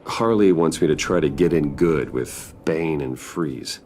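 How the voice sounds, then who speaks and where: Composed guarded man, extremely low pitch, gravelly creak, measured, understated, dryly sincere, game dialogue. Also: a little mellow, a little hiss or hum. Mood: polite, composed. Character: composed, guarded wealthy man working his way into a gang.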